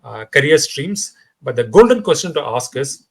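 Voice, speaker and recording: A man with an Indian English accent, speaking as a podcast guest in a conversational interview, animated and full of fillers and restarts. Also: mid-range voice, moderate pace, breathy edge.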